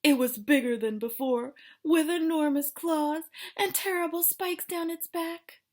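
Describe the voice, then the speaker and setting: A woman's voice: sits high, measured pace. A woman teacher reading a children's story aloud, expressively and dramatically, with explanatory asides. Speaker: elementary school teacher.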